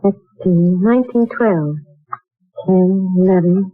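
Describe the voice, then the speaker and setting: A woman's voice: on the low side, slow pace, dry recording. An actress voicing a young woman uneasy about a strange job, in 1940s radio acting, talking in a hesitant, wondering way. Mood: earnest. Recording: tinny old radio broadcast with faint music.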